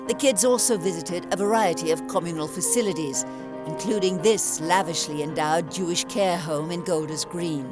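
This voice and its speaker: A woman reporter reading measured, scripted narration for a news feature. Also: fairly low pitch, over music.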